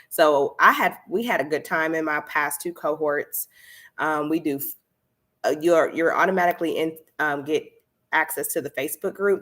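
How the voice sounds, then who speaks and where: Chatty low-voiced woman with a stuffy nose. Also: a bit bright. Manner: chatty, many fillers, laughs often. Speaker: candle business coach hosting a live stream. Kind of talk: casual live-stream chat.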